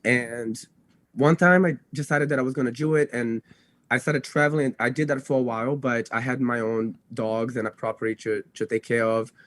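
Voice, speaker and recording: A young man who grew up in Brazil with Portuguese as his first language talks in a dry, close-sounding space. His voice sits at a middle pitch. He speaks casually as an interview guest, circling back and restarting phrases with plenty of fillers. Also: fairly even intonation, moderate pace, fairly bright tone, no room sound. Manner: rambling, self-correcting, frequent "you know" and "like". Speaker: podcast guest, dog trainer. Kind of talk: casual podcast interview, telling own story.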